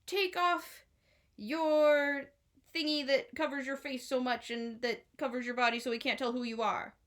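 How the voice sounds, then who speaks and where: A woman's voice: high-pitched, fairly even intonation, measured pace, fairly bright tone. A woman voicing a suspicious, nosy male sheriff who speaks in a probing, distrustful way.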